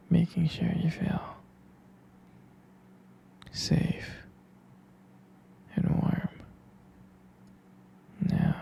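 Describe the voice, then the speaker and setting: A man speaking in a breathy, husky whisper, tender, soothing and halting, as a boyfriend in a bedtime ASMR roleplay, close to the microphone. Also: on the high side, warm tone. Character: tender, protective boyfriend lulling partner to sleep.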